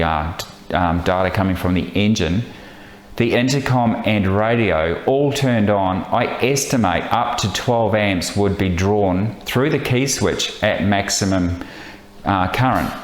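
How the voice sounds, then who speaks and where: A man narrating a vlog as a pilot, explaining technical details in a measured, methodical way. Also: on the low side, roomy sound. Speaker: pilot and host of flying vlog.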